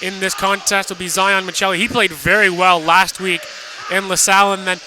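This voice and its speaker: Male color analyst, high-pitched voice, live radio hockey commentary with clear arena noise. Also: flat delivery, brisk. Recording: noisy live arena broadcast.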